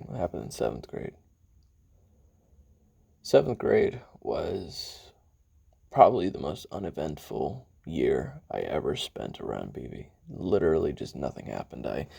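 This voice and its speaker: A man playing a brooding, hot-tempered assassin in an audio roleplay, recounting his memoirs in a halting, casual, profane confessional with a gravelly, husky voice. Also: very deep voice, mellow, heavily acted. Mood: casual recollection. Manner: halting, casual, profane, with sighs and trailing pauses.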